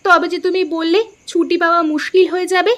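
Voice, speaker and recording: A chiding cartoon wife, acted by a woman, pitched extremely high. She is teasingly reproachful and questions her husband like a spouse who has been misled. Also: level tone, fast. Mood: mock reproach. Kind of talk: Bengali fairy-tale cartoon dubbing.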